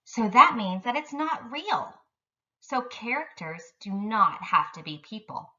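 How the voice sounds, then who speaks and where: A woman's voice: on the high side, very animated, unhurried, pushed and bright, distant mic, highs cut off. A woman elementary school teacher giving an instructional lesson to young children in careful, simple phrasing.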